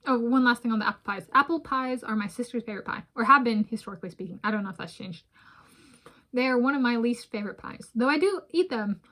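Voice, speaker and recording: Woman in her thirties, rambling home vlog, eating while she talks, slightly high voice, camera at desk distance. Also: fairly bright tone. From United States. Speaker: vlogger. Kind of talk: casual food vlog talk. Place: home room with bookshelves, indoors.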